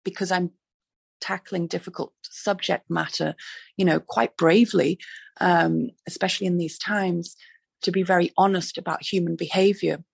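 A woman speaks with a hoarse, raspy voice, heavy with fry. Her delivery is fairly flat and earnest, full of fillers and restarts. The sound is dry and close over a video call. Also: fairly low pitch, normal speed, dry recording. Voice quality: raspy, gravelly. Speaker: playwright guest.

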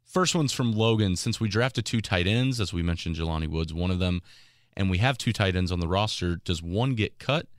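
A man co-hosting a casual sports podcast, relaxed and loose in how he talks. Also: sits low, normal speed, dry recording, clean studio sound. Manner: relaxed, laughs easily, loose. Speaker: podcast co-host.

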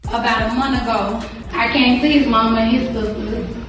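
Woman giving a little speech, high voice, super echoey and far off, music over it. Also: fairly even intonation, moderate pace. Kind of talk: emotional public speech in a clip.

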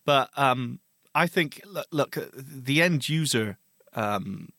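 A man's voice: mid-range voice, animated, takes it slowly, bright, forceful tone, no room sound, studio quality. A man co-hosting a podcast, discussing tech news in a hesitant way with many restarts and fillers. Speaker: podcast co-host. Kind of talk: casual tech news chat.

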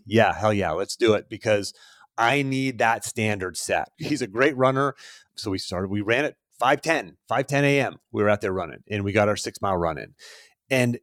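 A man's voice: fairly high pitch, no echo, clean studio sound. A man in his forties, a podcast host giving an earnest motivational talk straight to the listener. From Pittsburgh, USA. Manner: earnest, coaching, addresses listener directly. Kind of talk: motivational monologue.

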